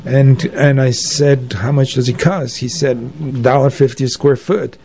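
An older man telling anecdotes as an interview guest, in a halting, conversational way. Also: medium pitch, fairly even intonation, vocal fry, darkish tone. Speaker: interview guest, arts organizer. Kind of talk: conversational reminiscing.

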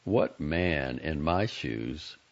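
Sullen expectant father, played by a male narrator: low, gravelly and hoarse, sulky sarcasm, worn-out misery, storybook drama. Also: extremely slow, highs cut off, heavily acted. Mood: hollow sarcasm. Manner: flat, sulky, sardonic.

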